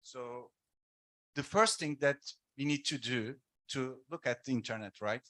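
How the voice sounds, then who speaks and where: A man's voice: mid-range voice, unhurried, sharp tone. A man panelist speaking hesitantly with many fillers, asking the audience questions. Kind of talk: panel talk.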